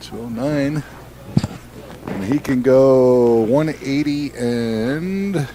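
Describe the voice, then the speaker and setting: A man's voice: mid-range voice, very slow, warm tone, a little hiss or hum. A man co-commenting a bowling final, easygoing, laughing along and answering in short remarks. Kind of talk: live sports commentary, banter. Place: bowling center.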